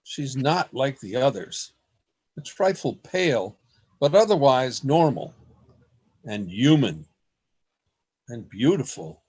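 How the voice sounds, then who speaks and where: A man playing an earnest, anxious trapped man in a radio play, speaking in a dramatic, deliberate way. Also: medium pitch, very animated, darkish tone, slightly rough, exaggerated voice. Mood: haunted, wistful. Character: earnest, anxious man trapped among secret store dwellers. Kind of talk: radio play acting with diary narration.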